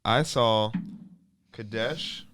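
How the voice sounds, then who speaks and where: Brief: low-pitched male guest, laid-back and emphatic, dry close sound. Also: extremely slow, smooth, no echo. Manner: relaxed, laughing, emphatic. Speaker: podcast guest, musician. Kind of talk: casual chat over beers.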